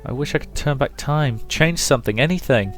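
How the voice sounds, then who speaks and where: A man's voice: mid-range voice, a little fast, voice pushed up, close to an everyday voice. A man plays a grieving young daughter in a visual novel, lifting the voice well above his own. She is earnest, loving and full of sorrow, with music behind. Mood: anguished, regretful.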